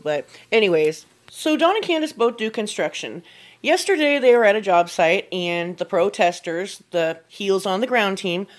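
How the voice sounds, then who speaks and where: A woman with a slightly low voice hosts a true crime commentary. She speaks straight to her viewers in a blunt, disapproving way.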